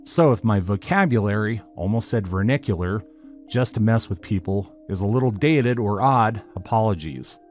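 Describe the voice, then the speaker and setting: A man narrating a written online story in a steady, even reading style. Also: fairly low pitch, normal speed. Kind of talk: scripted narration of a reddit post. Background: faint background music.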